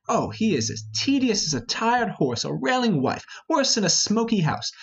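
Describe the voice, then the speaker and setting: A man's voice: high-pitched, slightly rough, highs cut off, heavily acted. A man playing a scornful, impatient young nobleman in an amateur reading of Shakespeare. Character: hot-headed impatient young nobleman, mocking and restless.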